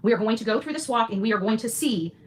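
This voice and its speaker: A woman's voice: fairly high pitch, expressive, fast, crowd noise. A woman giving an emphatic, declarative livestream monologue, repeating phrases for weight. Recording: noisy replayed livestream audio.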